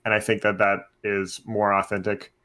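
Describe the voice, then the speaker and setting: Low-voiced man in casual podcast talk. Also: completely flat delivery, moderate pace, bright, forceful tone. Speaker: podcast host; teacher.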